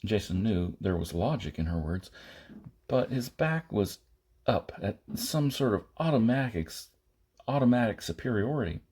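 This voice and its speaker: A man reading a science fiction novel aloud as an amateur audiobook narrator, in short phrases with pauses and the odd stumble. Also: on the low side, lively intonation, darkish tone, slightly rough. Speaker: audiobook reader.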